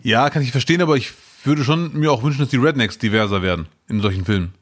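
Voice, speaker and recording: A man co-hosting a podcast, chatting casually with dry, teasing remarks and lots of quick agreements. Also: mid-range voice. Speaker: podcast co-host. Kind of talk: casual chat.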